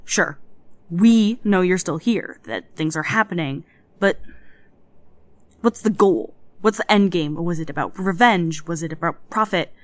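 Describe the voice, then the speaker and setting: Woman as audio drama hacker coordinator: wary, exasperated, plain-spoken, lowish voice, close dry sound. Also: unhurried, dry recording, natural voice. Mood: probing, uneasy. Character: frustrated, wary female hacker collective coordinator.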